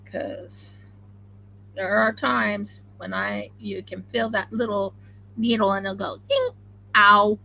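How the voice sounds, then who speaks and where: A woman with an Oklahoma accent chatting excitably and rambling on a home craft live stream while she sews. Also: medium pitch, takes it slowly, creaky, long pauses, slight background noise, poor audio. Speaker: craft channel host. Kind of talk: casual live-stream chat while sewing.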